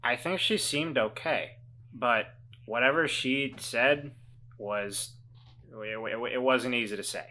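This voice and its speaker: A woman voicing a remorseful weasel man who once ran away from home, speaking in a halting, reflective way and gently encouraging others. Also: very deep voice, exaggerated voice. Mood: hesitant.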